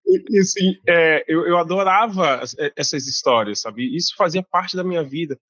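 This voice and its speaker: A man's voice: fairly high pitch, unhurried, a bit bright, dry recording, dull audio. A man from Bahia, a guest author talking casually in an interview, with many fillers and restarts. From Bahia, Brazil.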